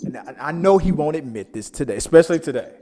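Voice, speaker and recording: A man hosting a casual pop-culture show, telling stories in a rambling, animated way. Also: a little high, quick pace. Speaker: podcast host. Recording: reverberant, echoing room sound.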